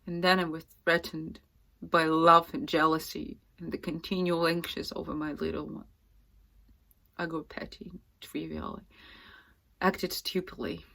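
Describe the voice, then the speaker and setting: A woman playing a fragile, distraught young actress in a dramatic, halting stage monologue. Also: low-pitched, heavily acted. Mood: sad, broken. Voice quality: breathy, often dropping to whispers. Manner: halting, intense, swinging from hushed to loud.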